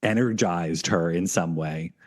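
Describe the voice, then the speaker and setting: A man with a very deep voice hosting a casual podcast conversation. He talks in long, rambling turns and often restarts his sentences along the way. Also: measured pace, soft background music. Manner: rambling, full of fillers and restarts. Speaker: podcast host.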